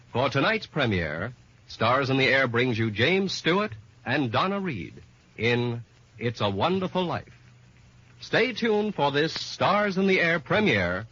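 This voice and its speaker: Husky American 1950s radio announcer, male. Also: medium pitch, a bit bright. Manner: formal, emphatic. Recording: muffled, lo-fi old radio recording.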